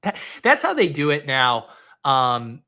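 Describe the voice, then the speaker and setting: A man in his thirties with a nasal voice, hosting a casual chat and trading goofy deadpan jokes. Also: medium pitch, a little slow. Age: 30s. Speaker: host.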